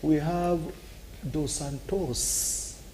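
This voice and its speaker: A man's voice: fairly high pitch, fairly even intonation, drawn-out words, mellow, a little hiss or hum, poor audio. A man with a Kenyan accent, arguing animatedly on a talk show and acting out scenes with sound effects. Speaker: political commentator.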